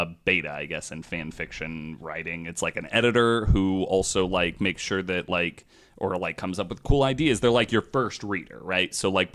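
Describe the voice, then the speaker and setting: Low-pitched man, casual podcast storyteller. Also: fairly bright tone. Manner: informal, swears casually. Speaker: podcast host.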